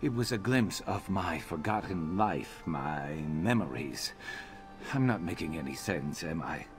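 An actor playing a brooding, determined reptilian warrior in a video game, terse and guarded. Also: male voice, deep voice, lively intonation, measured pace, soft background music. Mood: shaken. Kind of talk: video game character dialogue.

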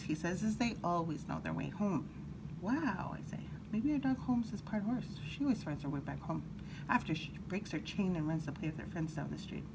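A woman storyteller reading a children's picture book aloud in an animated, expressive way, with brief asides. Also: mid-range voice. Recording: clearly audible background noise.

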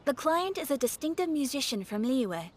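A woman's voice: high voice, very animated, normal speed, slight background noise. A woman voicing a polite, businesslike young guild receptionist in a video game, composed and formal. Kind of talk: scripted video game dialogue.

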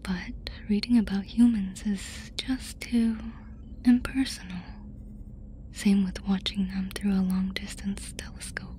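A woman playing a curious, doting alien fascinated by humans in an ASMR roleplay, whispering softly and breathily in a casual, affectionate way. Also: mid-range voice, level tone, a little slow, slight background noise, close to an everyday voice. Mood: friendly, eager.

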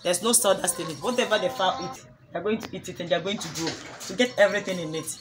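A woman's voice: on the low side, over music. Ghanaian woman explaining her fish farm. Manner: earnest, explains step by step with pauses. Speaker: fish farmer. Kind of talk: casual explanation on a farm tour. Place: outdoor yard of a home fish farm. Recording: outdoor recording with clear background noise.

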